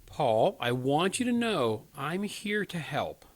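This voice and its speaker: An actor playing an earnest, inexperienced young crisis counselor in a radio play, hesitant and pleading. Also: male voice, a little high, sing-song, slow, full character voice. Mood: careful, concerned. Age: 20s.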